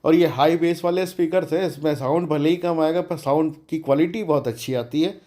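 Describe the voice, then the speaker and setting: Chatty man, higher-pitched voice. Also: a little fast, warm tone. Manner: chatty, colloquial, mixes English terms into speech. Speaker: DIY electronics channel host. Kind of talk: informal tutorial demonstration.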